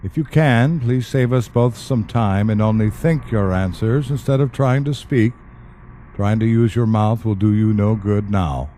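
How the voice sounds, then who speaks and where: An actor in an audio play as a calm, wry government specialist, speaking in a measured, matter-of-fact and dryly polite way. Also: male voice, on the low side, natural voice. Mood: polite, businesslike. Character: calm, wry male government specialist who questions the dead. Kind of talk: audio drama acting.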